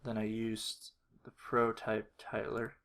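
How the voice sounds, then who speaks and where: A man giving a casual screen-recorded walkthrough, thinking aloud in a low-key, halting mutter. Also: monotone, vocal fry, a bit bright. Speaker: video creator. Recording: faint noise with keyboard clicks. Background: computer keyboard typing and mouse clicks.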